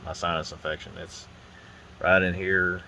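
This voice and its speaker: Man, very low voice, stuffy nose, rambling casual talk, phone mic, faint hum behind. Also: normal speed, gravelly. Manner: rambling, relaxed, frequent uh and restarts. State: stuffy nose from a sinus infection. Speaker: model-building vlogger. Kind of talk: casual hands-on build commentary. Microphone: phone on a holder arm.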